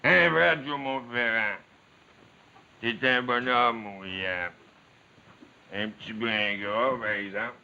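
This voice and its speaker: A man's voice: medium pitch, slow, vocal fry, long pauses, bright, forceful tone, full character voice. A man acting as a middle-aged village mayor in a 1950s television drama, sly and folksy, with a Quebec French accent. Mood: sly, goading. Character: sly village mayor, needling and falsely modest. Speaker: actor playing a village mayor. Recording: muffled, hissy 1950s television soundtrack.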